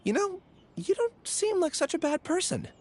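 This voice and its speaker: A woman's voice: very high-pitched, very animated, normal speed, a little mellow, a little hiss or hum. A woman voicing a frank, good-humored young warrior in a video game, speaking candidly and directly with a light teasing edge.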